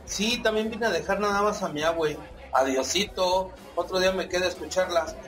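A teasing Mexican grandson, acted by a man in a radio soap. He is cheeky and slangy. Music plays clearly behind him. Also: high voice, brisk, a bit bright. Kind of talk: radio soap opera acting.

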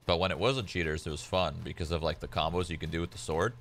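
Young man, Polish native, low voice, easygoing rambling gamer chat, home streaming setup. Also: quick pace, a bit bright, slight background noise. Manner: laid-back rambling with many "like" fillers. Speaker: game streamer. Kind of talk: casual chat while gaming.

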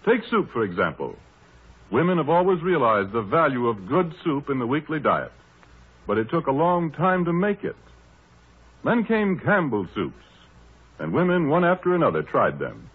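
A man announcing on 1930s radio in a formal, polished salesman's style. His voice is a little high, with animated intonation. The recording is thin and muffled, with the narrow band of an old broadcast. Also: normal speed, breathy edge.

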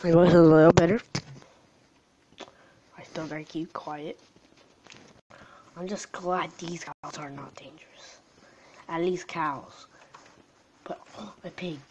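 A young woman with a tired voice commenting on a game she is playing late at night at home, gasping and jumping between alarm and hushed whispers. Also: lowish voice, fairly even intonation, takes it slowly, pauses often, dull audio, a little hiss or hum. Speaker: gaming channel host. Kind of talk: live gameplay commentary.

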